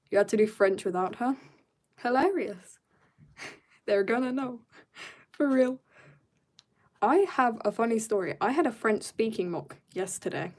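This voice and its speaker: Chatty young woman streaming her drawing. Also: medium pitch. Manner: chatty, rambling, self-mocking asides; drops to quiet mutters while concentrating. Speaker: art streamer. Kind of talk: casual chat while drawing.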